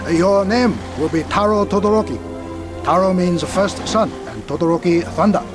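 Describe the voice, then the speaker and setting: An actor playing a courteous official and interpreter, formal, deferential and slightly hesitant, in a radio drama. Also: male voice, high-pitched, moderate pace, over music, natural voice. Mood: formal, explaining.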